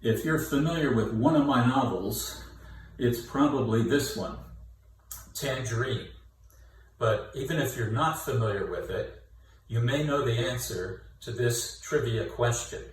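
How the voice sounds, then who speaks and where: Slow-talking man in a very echoey room. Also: mid-range voice. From Mercer County, New Jersey. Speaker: young adult novelist. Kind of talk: scripted trivia question to camera. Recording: echoey room from far off, faint noise.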